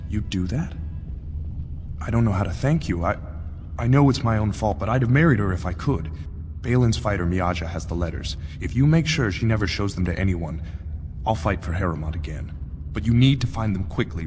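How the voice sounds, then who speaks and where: An actor playing a lovesick, guilt-ridden dwarf warrior in a video game, speaking in a hesitant, confessional way. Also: male voice, on the low side, animated, fairly quick, noisy background, lightly acted. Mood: grateful, urgent. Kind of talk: video game dialogue acting. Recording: long reverb, music behind.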